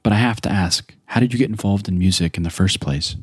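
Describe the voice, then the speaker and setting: A male podcast host reading a measured, scripted introduction and then asking his guest questions. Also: lowish voice, breathy edge, no room sound, clean studio sound. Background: background music.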